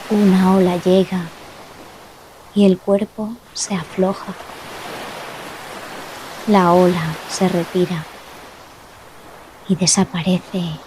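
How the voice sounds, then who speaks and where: A woman guides a relaxation meditation in a gentle, soothing voice, set a little low. She speaks very slowly in short phrases, leaving long pauses. Clearly audible sea waves wash behind her throughout. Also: level tone, slight background noise, rough recording. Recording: loud ocean waves behind the voice. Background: sound of sea waves.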